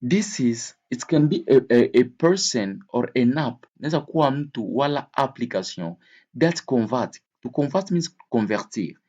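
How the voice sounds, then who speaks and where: Husky man teaching vocabulary. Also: mid-range voice, animated, a little mellow, muffled recording. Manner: didactic, repeats key words slowly and clearly; switches between English, Swahili and French. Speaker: English teacher. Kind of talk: language lesson, explaining vocabulary with examples.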